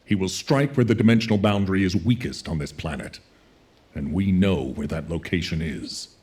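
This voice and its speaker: A resolute military commander, played by a man in a video game. His voice is deep and authoritative, firm but caring toward his people. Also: moderate pace, a little mellow, natural voice. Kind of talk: game cutscene dialogue.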